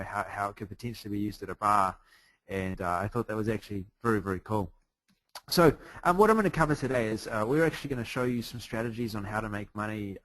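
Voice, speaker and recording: A man's voice: lowish voice, no echo, poor audio. Upbeat New Zealand man, chatty presenter. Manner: upbeat, chatty, heavy on fillers. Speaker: webinar presenter. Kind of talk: live webinar presentation. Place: hotel room late at night.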